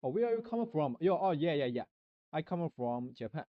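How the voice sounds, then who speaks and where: A man streaming a rambling philosophical monologue, halting and searching for words, often restarting and repeating himself. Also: on the high side, very animated, no echo, dull audio.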